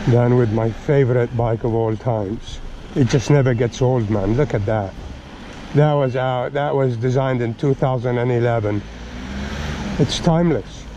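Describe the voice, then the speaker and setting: A man talks casually from his motorcycle, pausing often with ums and drifting between thoughts. His voice sits at a middle pitch and comes through a dull, muffled helmet mic. Wind and traffic noise run steadily behind him. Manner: relaxed, rambling with many ums. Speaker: motorcycle vlogger. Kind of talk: casual narration while riding. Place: outdoors on a motorcycle by a river.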